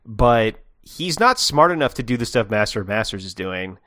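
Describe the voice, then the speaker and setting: A man's voice: lowish voice, big pitch swings, normal speed, bright, forceful tone, dry recording, studio quality. A man co-hosting a podcast, rambling playfully through his theories in casual conversation. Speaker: podcast co-host.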